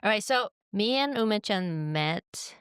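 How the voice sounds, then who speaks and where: A woman from Japan hosting a podcast, relaxed and giggly as she asks her guest questions. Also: mid-range voice, unhurried, clear, resonant tone, no echo, clean studio sound. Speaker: podcast host. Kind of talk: casual interview chat.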